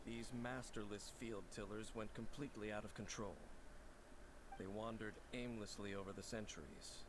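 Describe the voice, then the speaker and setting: An actor playing a grave, world-weary wanderer who speaks formally and with measured delivery in a video game. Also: male voice, lowish voice, fairly even intonation, noisy background, close to an everyday voice. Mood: somber. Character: solemn, world-weary male wanderer who knows ancient history. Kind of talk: scripted video game dialogue.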